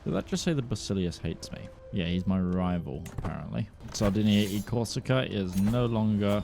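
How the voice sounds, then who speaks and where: Low-voiced man chatting over gameplay. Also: gravelly, noisy background. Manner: chatty, thinking aloud, quick swings between flat and excited. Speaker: gaming YouTuber. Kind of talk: casual game commentary.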